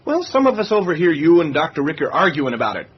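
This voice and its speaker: Nosy young male sailor, respectful but cheeky, slightly high husky voice, lo-fi radio play. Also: big pitch swings, quick pace. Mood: probing. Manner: deferential, cheeky. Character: eager, nosy young male navy yeoman. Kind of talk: radio drama acting. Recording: dull, lo-fi radio drama sound.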